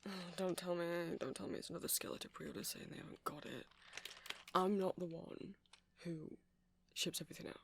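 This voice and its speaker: A man's voice: sits high, fairly even intonation, brisk, creaky, a little hiss or hum. A man playing an awkward, rambling vampire music shop owner who stammers and hesitates in a casual ASMR roleplay. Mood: exasperated, defensive. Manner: hesitant, stammering, apologetic, nervously chuckling.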